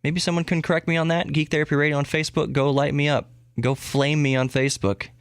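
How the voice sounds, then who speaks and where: A man's voice: fairly high pitch, flat delivery, fast, smooth, no echo, clean studio sound. A man hosting a podcast solo, talking casually in a rambling way, often interrupting himself and repeating words for emphasis. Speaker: podcast host. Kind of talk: casual solo tech and geek news talk.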